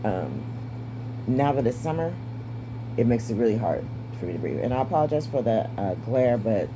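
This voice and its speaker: Raspy, breathless woman in her fifties. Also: a bass voice, sing-song, normal speed, noisy background. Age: 50s. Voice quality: raspy, strained voice. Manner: candid, wry, stays positive. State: short of breath. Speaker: vlogger. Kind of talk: casual personal update to camera.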